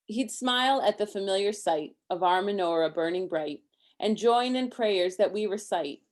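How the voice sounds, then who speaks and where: A woman's voice: mid-range voice, animated, normal speed. A woman reading a rhyming children's story aloud expressively, with simple, casual explanations for a young listener. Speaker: storybook reader.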